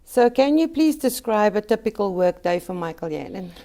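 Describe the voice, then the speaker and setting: A woman working in HR, calmly asking prepared interview questions. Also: medium pitch, normal speed. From South Africa. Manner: composed, reads prepared questions. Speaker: HR business partner, interviewer.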